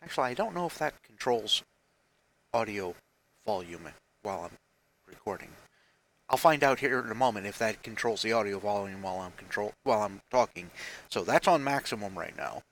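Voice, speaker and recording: A man in his forties talks casually into a headset microphone in his basement, his voice bright and forward. He rambles and restarts often, running himself down with a wry, weary honesty. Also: on the low side, lively intonation, brisk, rough recording. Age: 40s. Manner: rambling, self-deprecating, frequent restarts. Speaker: vlogger. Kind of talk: casual self-deprecating vlog talk. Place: basement room at home.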